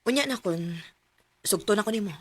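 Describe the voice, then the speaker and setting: A woman's voice: medium pitch, lively intonation, fairly quick, noisy background, natural voice. A woman acting as an earnest young Cebuano daughter. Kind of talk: radio drama acting.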